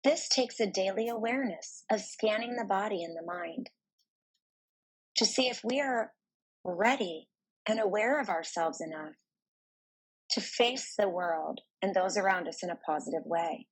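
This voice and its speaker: A woman with a medium-pitched, soft and breathy voice guides a meditation. Her delivery is slow and deliberate, with soothing phrasing and pauses between thoughts. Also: rough recording.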